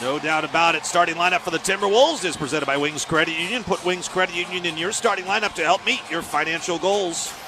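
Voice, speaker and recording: A man's voice: high-pitched, quick pace, hardly any pauses, noisy background. An American man hosting live basketball radio, giving pregame commentary. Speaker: radio basketball play-by-play host. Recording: live arena broadcast with music behind. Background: music and arena sounds.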